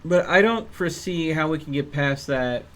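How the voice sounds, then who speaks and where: A man's voice: on the high side, animated, moderate pace, a bit bright. A man streaming a video game, thinking aloud in a casual way with mild frustration. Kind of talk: casual live gameplay commentary.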